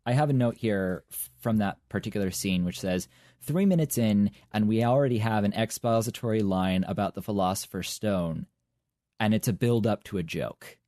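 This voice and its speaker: A young man with a low, mellow voice talks over a show in casual, thoughtful podcast chat. His wit is dry and his phrasing is articulate. The recording is dry and close, with no room sound. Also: normal speed, no echo. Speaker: podcast co-host.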